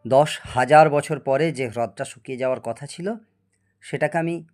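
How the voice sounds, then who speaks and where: A man's voice: medium pitch, normal speed, darkish tone, faint music behind, natural voice. A man playing a boastful, eccentric older scientist in an audio story, lecturing and teasing in a grandiose way. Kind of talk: dramatised audio story acting.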